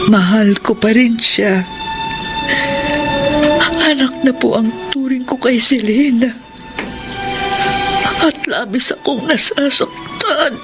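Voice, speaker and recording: A woman's voice: fairly high pitch, low-quality recording. A woman with a Filipino accent playing an anguished, devout aunt in a radio drama, emotional and pleading. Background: dramatic music.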